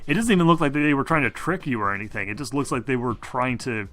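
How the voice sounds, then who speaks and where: A man improvising narration as game master of a tabletop game, in a halting, searching way. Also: medium pitch, a bit bright.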